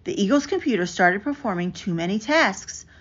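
A woman reads a children's picture book aloud in an expressive, carefully enunciated storytelling manner. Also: mid-range voice, normal speed. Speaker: storybook reader. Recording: dull lo-fi audio with clear background noise.

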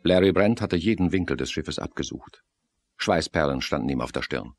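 A man's voice: extremely low pitch, animated, moderate pace, dry recording, faint music behind. A man reading scripted narration for a thriller audio drama.

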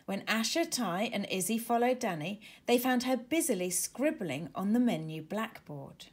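A woman reading a children's storybook aloud in an expressive, deliberate way. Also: medium pitch, breathy edge. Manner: animated, deliberate. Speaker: author reading own storybook.

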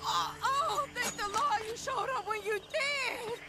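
A woman's voice: very high voice, takes it slowly, heavy background noise, heavily acted. An actress playing a distraught frontier woman just rescued, in a western video game, speaking dramatically. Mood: shaken relief.

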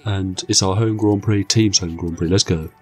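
Croaky lad calling a racing game. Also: male voice, sits low, moderate pace, faint music behind. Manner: excitable, jokey play-by-play. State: croaky from a cold. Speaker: gaming channel host. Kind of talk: casual gameplay commentary.

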